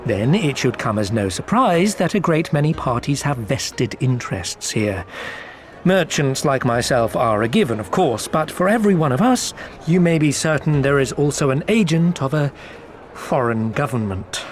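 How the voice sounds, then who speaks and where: An actor playing a theatrically courteous merchant and company agent in a video game, talking in florid, circuitous and unctuous phrases. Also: male voice, mid-range voice, sing-song, moderate pace, close to an everyday voice. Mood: sly, confiding. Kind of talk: scripted video game character dialogue.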